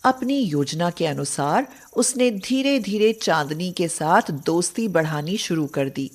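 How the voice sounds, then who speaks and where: A woman narrating a moral storybook tale in a measured, scripted way. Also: lowish voice, expressive.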